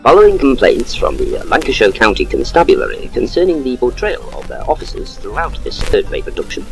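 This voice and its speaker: Gravelly, very expressive male radio announcer. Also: mid-range voice, rough recording. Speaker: continuity announcer. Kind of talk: mock-formal radio announcement.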